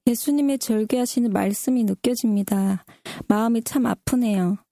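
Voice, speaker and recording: A woman's voice: a little high, normal speed, creaky, mellow, no echo, clean studio sound. A woman in a prepared-sounding Bible discussion, politely restating and reflecting as a co-host. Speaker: co-host discussing scripture with a pastor.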